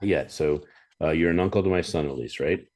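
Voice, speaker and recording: A man hosting a podcast, chatting casually and telling animated stories with chuckles and frequent throat-clearing. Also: extremely low pitch, brisk. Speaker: podcast host. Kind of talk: casual interview chat.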